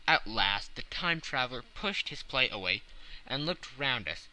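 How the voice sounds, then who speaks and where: A man reads classic fiction as a dramatic audiobook narrator. His voice sits at a middle pitch and is very bright and forceful. The delivery is scripted, with lively melodic movement. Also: a little slow, slight background noise, poor audio.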